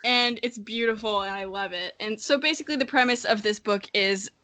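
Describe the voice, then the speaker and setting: A woman's voice: medium pitch, brisk, pushed and bright. A woman in her early twenties, an informal, giggly podcast co-host who chats casually and reads aloud. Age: early 20s. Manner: giggly, informal, often breaking into laughter. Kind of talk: casual chat and dramatic reading of childhood writing.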